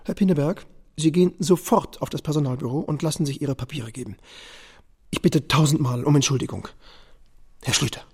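An actor playing an obsequious, officious department store supervisor in a radio play, servile to customers and stern to staff. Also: male voice, on the high side, a little fast, a little mellow, close to an everyday voice. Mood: stern, apologetic. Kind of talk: German radio play acting.

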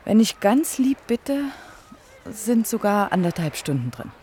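A woman's voice: mid-range voice, big pitch swings, mellow, slight background noise. An actress playing a cheeky, outspoken young woman in her early twenties, teasing and ironic, in a naturalistic German radio drama. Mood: playful concern. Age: young adult. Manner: teasing, ironic, quick-witted.

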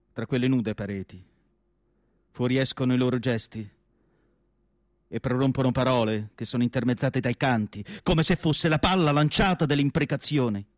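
A man reciting literary prose as a radio theatre monologue, solemn and declamatory, with deliberate phrasing and dramatic pauses. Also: medium pitch, dry recording, studio quality.

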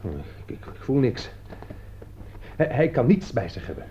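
A man's voice: medium pitch, very animated, a little slow, noisy background. A man acting in a radio play as an anxious, indignant friend turned accuser, agitated and reproachful. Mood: uneasy. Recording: dull lo-fi radio recording.

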